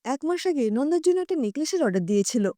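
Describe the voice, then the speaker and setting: A woman narrating a scripted short story in an expressive storytelling style. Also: sits high, quick pace, no room sound, studio quality.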